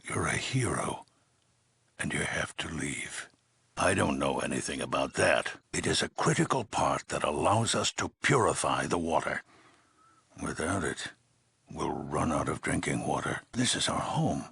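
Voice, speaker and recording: Tired old vault boss for a game, really low husky voice, slow and solemn. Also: male voice, animated, a bit bright, no room sound, studio quality, full character voice. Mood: somber, guarded. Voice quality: gravelly, husky. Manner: measured, solemn, sighing, weighted pauses. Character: weary, grave older male leader of an underground vault. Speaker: overseer of a vault community. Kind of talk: dramatic video game dialogue.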